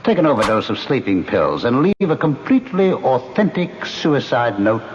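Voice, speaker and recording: A man's voice: medium pitch, very animated, normal speed, close to an everyday voice. An actor playing a smug, scheming man who coolly goads an accomplice, in old radio mystery style. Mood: coolly persuasive. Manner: smug, mocking, suavely persuasive. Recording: muffled, noisy old radio drama recording.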